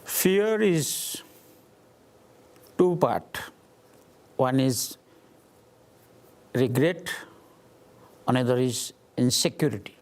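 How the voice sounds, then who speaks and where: A man with an Indian accent, a spiritual teacher answering questions at a gathering in slow, deliberate phrases with long pauses. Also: mid-range voice, animated, a little mellow. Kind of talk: satsang talk answering questions.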